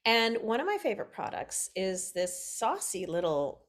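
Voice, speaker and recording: A woman's voice: mid-range voice, sing-song, moderate pace. A middle-aged woman, hosting a casual interview chat. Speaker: host.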